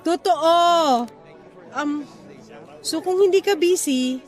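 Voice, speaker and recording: A woman's voice: very high voice, slow, darkish tone, some chatter behind. A woman playing a friendly, teasing young single woman in a Filipino radio drama, chatting conversationally in Taglish. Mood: friendly, nostalgic.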